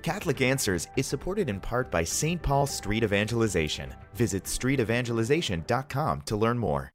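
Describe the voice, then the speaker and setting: A man reading a scripted radio sponsor ad in a smooth promotional way. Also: medium pitch, very animated, normal speed. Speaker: announcer. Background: soft music.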